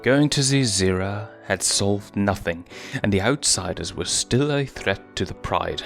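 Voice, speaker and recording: A man's voice: on the low side, measured pace, creaky, fairly bright tone, soft background music. A man reading a fan fiction story aloud as a scripted narrator.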